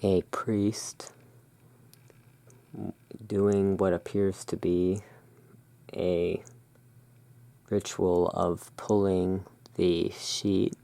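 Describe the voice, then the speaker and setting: A person narrating in a soft, hushed, deliberate ASMR style while presenting cards as a host. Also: low-pitched, flat delivery, vocal fry, dry recording.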